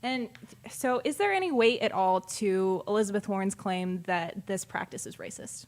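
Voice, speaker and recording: A woman's voice: medium pitch, lively intonation, normal speed. A woman co-hosting a political talk podcast, presenting her prepared notes and agreeing often with "mm-hmm" and "exactly". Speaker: podcast co-host. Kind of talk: casual political chat.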